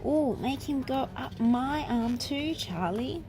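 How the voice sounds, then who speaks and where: A woman's voice: sits high, animated, slow pace, background noise, pitched above the performer's own voice. A woman voicing an eager, earnest little girl in a storybook, with childlike, emphatic wording.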